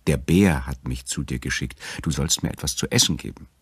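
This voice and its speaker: A man's voice: a bass voice, a little fast, husky, no echo, heavily acted. A man voicing a hungry, lost woman in a fairy tale, speaking plainly and frankly. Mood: weary, frank.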